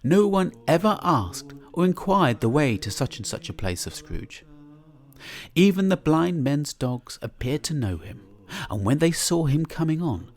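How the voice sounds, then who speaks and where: A male reader performs a classic tale live in a church, lingering theatrically over every descriptive word. He takes his time, and his voice rises and falls dramatically from phrase to phrase, with a middle pitch at its core. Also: darkish tone. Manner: theatrical, savouring descriptive words.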